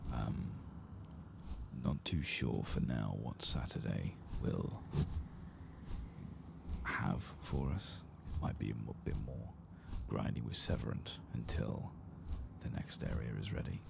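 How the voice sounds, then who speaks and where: A man giving hushed, soft-spoken ASMR commentary as he plays through a game, at times close to a whisper. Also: very deep voice. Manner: hushed, sometimes slipping into whisper. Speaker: streamer hosting a game playthrough. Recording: clear background noise and game ambience.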